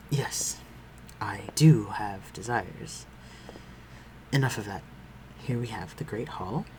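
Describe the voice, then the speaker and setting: A man playing a refined, protective vampire lord in an intimate audio roleplay, speaking formally and with measured command. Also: fairly low pitch, background noise. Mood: composed, reserved. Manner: formal, measured, archaic phrasing, dropping to breathy murmurs.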